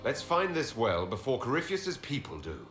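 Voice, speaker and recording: A man's voice: medium pitch, huge pitch swings, normal speed. An actor playing an earnest, skeptical male hero in a video game, direct and dryly wry. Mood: curious, resolute. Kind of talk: video game dialogue acting. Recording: echoey game audio under music.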